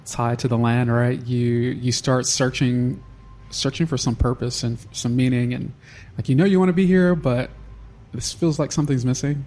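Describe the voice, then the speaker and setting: A man guest on a podcast, talking through how he started a charity in a halting, unhurried way with frequent restarts. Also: medium pitch, a little mellow. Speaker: nonprofit founder, guest. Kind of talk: casual interview.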